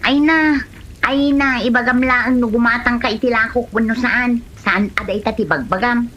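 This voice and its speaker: A woman's voice: on the high side, steady tone, normal speed, a bit bright, dull audio. A woman playing an aggrieved village snack seller in a comedy drama, with an Ilocano accent. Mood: exasperated. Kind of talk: Filipino comedy drama dialogue.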